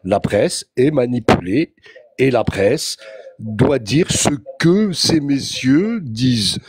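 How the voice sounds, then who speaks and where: A man calls in to a live show and drives his points with emphatic, repetitive declarations. His voice sits at a middling pitch. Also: lively intonation, slow pace, a little mellow, slightly rough. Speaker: caller. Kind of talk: heated call-in opinion.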